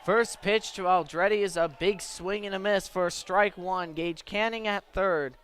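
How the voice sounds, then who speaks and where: A man's voice: sits high, steady tone, measured pace, fairly bright tone. A man doing live radio play-by-play as a sports announcer. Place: sports venue broadcast position. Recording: live sports broadcast with venue noise. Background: clear ambient venue noise.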